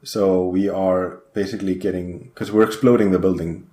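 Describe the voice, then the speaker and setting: A man's voice: low-pitched, fairly even intonation, moderate pace, dark tone, reverberant. A man from Iceland, a guest programmer chatting in a podcast interview, rambling with lots of fillers. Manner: rambling, hesitant with many fillers.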